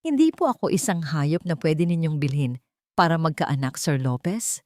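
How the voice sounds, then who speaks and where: A woman's voice: low voice, animated, normal speed, a little mellow, dry recording, clean studio sound. A woman voicing a proud young female job applicant, respectful and dignified, in a romance audio story. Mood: indignant. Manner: hesitant, respectful, emphatic.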